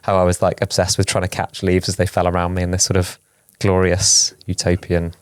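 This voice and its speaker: A man's voice: sits low, completely flat delivery, a little fast, clean tone, no room sound. A man co-hosting a podcast in a chatty, rambling way, teasing his co-hosts. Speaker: podcast co-host. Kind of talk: casual podcast chat.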